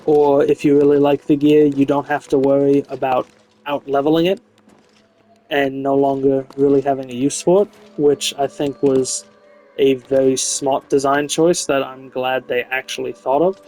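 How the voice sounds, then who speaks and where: A man's voice: medium pitch, flat delivery, normal speed, dark tone, low-quality recording. A man giving a casual, unscripted video game review in a frank, opinionated way. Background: music behind the voice.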